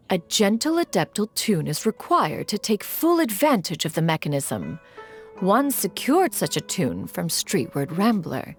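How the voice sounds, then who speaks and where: A woman voicing an ancient female adept and inventor who speaks formally and archaically. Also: medium pitch. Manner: formal, archaic, calls herself "one".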